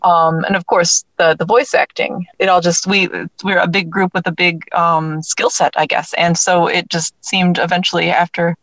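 A woman's voice: on the low side, flat delivery, moderate pace, hardly any pauses, a bit bright, dry recording. A woman guest, a writer, talking in an enthusiastic, chatty way in a casual interview. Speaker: guest, writer and audio drama creator.

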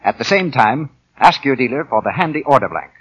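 A man's voice: mid-range voice, very animated, fairly quick, fairly bright tone, husky, muffled recording. A man announcing in a polished, salesmanlike 1940s radio advertising style. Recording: 1940 radio broadcast.

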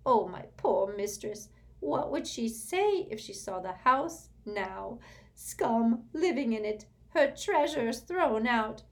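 A woman's voice: a little high, lively intonation, slow, a little mellow, voice pushed up. A woman reading aloud and voicing a bitter old male house-elf servant who mutters furiously. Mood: muttering resentfully. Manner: furious hissing mutter, bowing servility. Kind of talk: audiobook character voice.

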